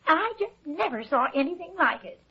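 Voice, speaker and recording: An actress playing a fussy, scolding country farmer's wife in an old-time radio drama, flustered and exclaiming in folksy speech. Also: female voice, sits high, big pitch swings, moderate pace. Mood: flustered, scandalised. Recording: muffled lo-fi old radio recording.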